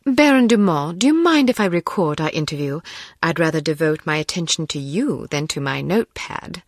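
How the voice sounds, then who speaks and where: A woman's voice: deep voice, lively intonation, normal speed, no echo, studio quality. A woman playing a composed young female spy posing as a reporter in a video game, polite and drily persistent. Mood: polite charm. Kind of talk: scripted video game voice acting.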